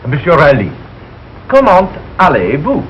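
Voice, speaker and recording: An actor playing a suave, effusive French tutor with a French accent in a 1940s radio sitcom, in broken English sprinkled with French. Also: male voice, fairly high pitch, huge pitch swings, a little slow. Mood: brisk, cheerful. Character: suave, eager French language tutor, flattering and excitable. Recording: dull, noisy old radio broadcast.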